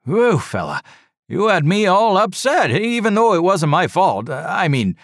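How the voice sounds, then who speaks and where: Man putting on a loud, high, blustering crash driver. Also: very animated, no room sound, clean studio sound, exaggerated voice. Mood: relieved. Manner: loud, rushed, insisting it was not his fault. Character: loud disheveled man who caused a crash, defensive.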